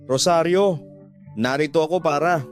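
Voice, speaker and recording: A man's voice: a little high, moderate pace, lightly acted. A man voicing an earnest, pleading young male suitor in a radio drama. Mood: nervous. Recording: music bed under the voice.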